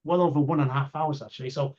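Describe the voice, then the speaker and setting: A man's voice: on the high side, fairly even intonation, rapid-fire, a little mellow. A man interviewing casually, with hesitant, rambling and repetitive phrasing. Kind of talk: casual chat. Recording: dull lo-fi audio.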